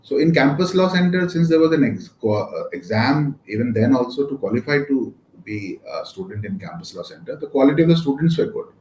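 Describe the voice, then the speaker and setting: A man's voice: mid-range voice, sing-song, brisk, few pauses, darkish tone. A man in his fifties, a native Maithili speaker with an Indian English accent, answering interview questions in a rambling way with many fillers. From India, West Bengal. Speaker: guest, real estate lawyer. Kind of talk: casual interview conversation. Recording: echoey room with faint noise.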